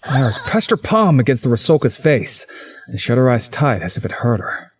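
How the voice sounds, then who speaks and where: A man's voice: medium pitch, sing-song, normal speed, husky. A man narrating a visual novel in the first person, as a story's narrator.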